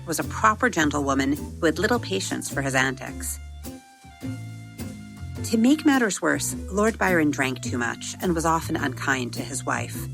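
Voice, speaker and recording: A woman narrating a scripted children's story as podcast host, in a measured, deliberate storytelling manner with emphatic phrasing. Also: low-pitched.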